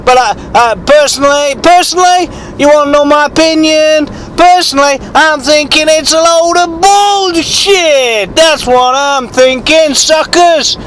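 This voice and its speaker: A man voicing an eccentric, foul-mouthed rustic bigfoot hunter, husky with a sore throat, telling a halting, rambling, repetitive mock-documentary tale. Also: very high-pitched, a bit bright, slight background noise, low-quality recording. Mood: rambling, scornful.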